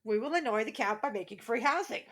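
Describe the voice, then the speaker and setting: A woman's voice: a little high, animated, fast, a bit bright. A woman co-hosting a podcast in casual, joking chat, with dry, irreverent banter. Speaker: podcast co-host.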